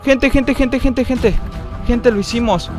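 A young man with a Mexican accent giving excitable, slangy live commentary while playing a mobile shooter, with frequent high outbursts. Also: slow. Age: young adult. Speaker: gaming streamer. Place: indoor room at a desk, facecam. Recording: game music underneath. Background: game music.

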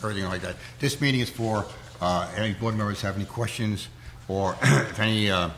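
Hoarse man chairing a town meeting. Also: on the low side, brisk, background noise. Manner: plain-spoken, folksy, many fillers. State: hoarse with a cold. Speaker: zoning board chair. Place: town hall meeting room.

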